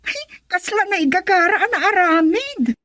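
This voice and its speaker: An actress playing a girlish, expressive young colleague in an Ilocano radio drama. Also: extremely high pitch, normal speed, no room sound, studio quality. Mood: excited. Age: young adult.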